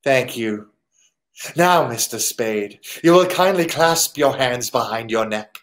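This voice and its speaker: An actor playing a polite, wary, menacing crook in a radio drama, formal, fussy and precise. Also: male voice, mid-range voice, lively intonation, slow pace, fairly bright tone. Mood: coolly threatening. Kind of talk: old-time radio drama acting.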